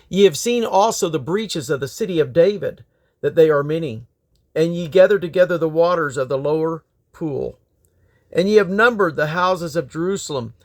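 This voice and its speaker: A man reading scripture aloud in a solemn, declamatory manner. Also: fairly high pitch. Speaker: Bible reader.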